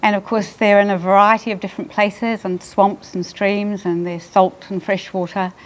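An older woman with a New Zealand accent, giving a conference talk at a lectern with a soft, measured voice, often pausing on ums. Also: medium pitch, level tone, slight background noise, rough recording. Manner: soft-spoken, measured, frequent ums and restarts. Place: lecture hall with audience. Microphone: lectern microphone.

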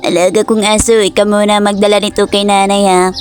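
A woman's voice: mid-range voice, level tone, fairly quick, soft background music, natural voice. A woman voicing a lazy, dim-witted young man in a cartoon story who talks in a sleepy, easygoing way. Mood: tired.

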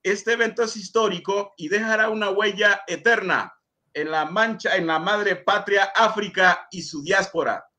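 Brief: middle-aged Honduran male host, high bright voice, courteous, reads viewer comments on a video call. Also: normal speed. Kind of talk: interview, reading viewer messages.

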